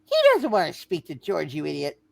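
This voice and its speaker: A woman's voice: sing-song, brisk, gravelly, darkish tone, no echo, full character voice. A woman playing a nagging, bossy mother in a hammed-up amateur radio play reading. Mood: shrill, irritated. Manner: shrill, bossy, scolding. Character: nagging, disapproving mother of a grown daughter.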